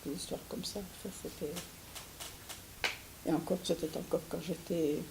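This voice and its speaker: An older woman from Syria giving an interview, speaking hesitantly with many restarts and soft laughs. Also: low voice, steady tone, moderate pace, creaky, noisy background. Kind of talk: recorded interview.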